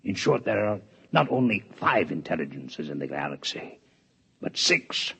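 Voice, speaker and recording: An actor playing a proud, formal alien male scientist in a 1950s radio drama, with measured, precise diction. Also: sits low, sing-song, gravelly, a bit bright, breathy edge, heavily acted. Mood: grave. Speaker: alien doctor in a radio play. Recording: dull vintage radio recording.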